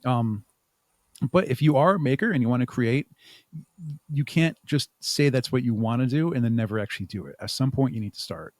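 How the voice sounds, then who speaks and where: A man's voice: medium pitch, brisk, dry recording. A man talking informally as an interview guest, hesitating and thinking aloud as he answers. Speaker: podcast guest, audio drama creator and podcaster. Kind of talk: casual interview chat.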